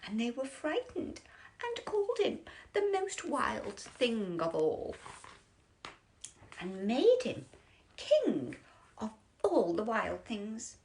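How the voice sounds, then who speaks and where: A woman reading a children's picture book as a bedtime storyteller, theatrical and drawn out, often dropping into breathy whispers. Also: a little high, wildly swooping pitch, takes it slowly, darkish tone, slight background noise, low-quality recording.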